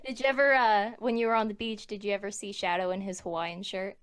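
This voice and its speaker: A woman's voice: medium pitch, steady tone, fast talker, clean tone. A woman hosting a Q&A in a casual, playful, giggly manner. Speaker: Q&A host.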